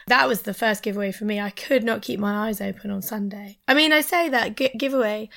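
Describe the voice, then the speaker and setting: Hoarse woman chatting, gravelly and soft. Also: medium pitch, fairly quick. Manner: chatty, drops to a near whisper at serious points. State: lost voice, sore throat. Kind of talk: casual chat over video call.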